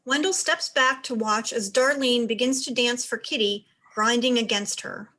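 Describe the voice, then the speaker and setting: High-voiced woman reading stage directions. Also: moderate pace, pushed and bright. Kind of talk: scripted narration.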